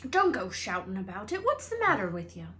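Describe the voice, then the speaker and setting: A woman voicing a gruff, shifty giant gamekeeper man from a storybook, with rustic, scolding speech. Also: mid-range voice, huge pitch swings, a little fast, a bit bright. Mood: hushed scolding.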